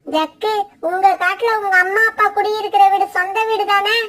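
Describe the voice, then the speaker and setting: Woman doing a squeaky high cartoon bird girl, young, bossy, always nagging and coaxing. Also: steady tone, moderate pace, sharp tone. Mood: insistent, bossy. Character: young female bird, pushy and wheedling daughter and wife. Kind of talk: children's cartoon voice acting.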